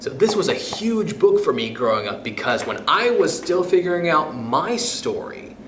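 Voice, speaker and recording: A man reading a children's picture book aloud in a playful, expressive way, chuckling between passages, then speaking to young listeners himself. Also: fairly high pitch, moderate pace, slight background noise. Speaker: youth club storyteller. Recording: echoey room.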